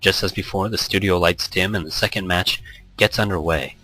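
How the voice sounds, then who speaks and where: A man's voice: deep voice, steady tone, normal speed, pushed and bright, rough recording. A man reading a visual novel aloud with expression, stumbling over words now and then and rereading them, mixed with casual asides. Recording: background music under the voice. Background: music.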